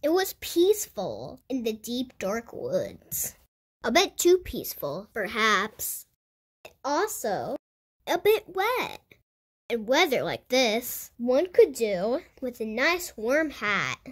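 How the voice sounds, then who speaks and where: A woman reading a picture book aloud to children in an animated storytelling style. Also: a little high, takes it slowly. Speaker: children's storybook reader and host. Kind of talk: animated read-aloud narration for kids.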